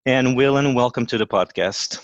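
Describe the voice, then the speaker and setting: A man with a Portuguese accent hosts a podcast, reading an upbeat introduction and then chatting with his guest. His voice sits at an average pitch. The sound is dry and close. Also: moderate pace, no room sound, dull audio. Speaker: podcast host and chef. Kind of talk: podcast interview.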